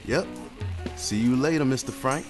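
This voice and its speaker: An actor playing a folksy, deferential bar employee with a colloquial manner in an old-time radio drama. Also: male voice, medium pitch, moderate pace, natural voice. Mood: friendly, obliging. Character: folksy, deferential speakeasy employee, eager and obliging. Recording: plucked guitar music behind.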